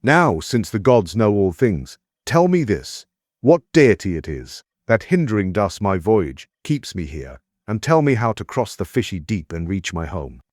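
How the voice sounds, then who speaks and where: Deep, dramatic warrior king storyteller. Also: big pitch swings, a little slow, darkish tone, no echo, clean studio sound. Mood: pleading, earnest. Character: Greek warrior king recounting his hardships, resolute, earnest. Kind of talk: dramatized epic audiobook narration.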